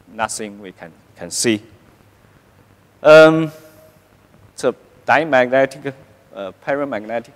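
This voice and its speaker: A middle-aged man lectures from a large conference stage, his words coming out very slowly with constant "uh" fillers and restarts. His voice sits at a middling pitch, and a long hall reverb trails behind it. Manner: halting, many "uh" fillers and restarts. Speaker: keynote lecturer.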